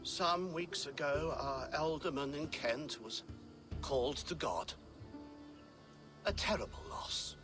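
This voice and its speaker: Give the game a scheming abbot, pitched a little high and oozing smug courtesy. He speaks in polished, unctuous phrases as an ambitious churchman would. Game music plays clearly behind him. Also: takes it slowly, long pauses. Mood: scheming, insistent. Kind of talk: video game drama acting.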